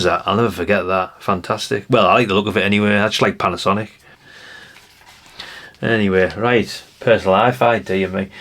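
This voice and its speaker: A man's voice: lowish voice, a bit bright. A man, giving casual, nostalgic commentary in a rambling, chatty way, musing aloud to the viewer. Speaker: retro collector presenting a video.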